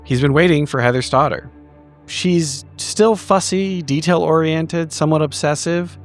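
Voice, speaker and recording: A man narrating his character in a tabletop roleplay, with measured, deliberate phrasing. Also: a little high, faint music behind. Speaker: player.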